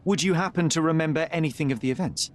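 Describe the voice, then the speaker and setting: A man's voice: fairly high pitch, fast talker, close to an everyday voice. An actor playing a young male detective, speaking in a precise, composed and formal manner. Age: young adult.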